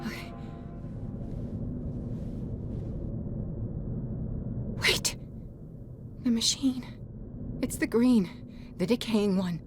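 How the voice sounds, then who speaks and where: A woman acting as a fragile, half-mad painter in a video game, breathy and husky, drifting dreamily and cryptically between halting phrases. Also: fairly high pitch, music in the background. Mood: ominous, insistent.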